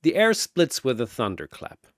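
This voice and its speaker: A man's voice: mid-range voice, wildly swooping pitch, moderate pace, dry recording, studio quality. A man delivering dramatic scripted battle narration like an arena announcer, theatrical and grandiose.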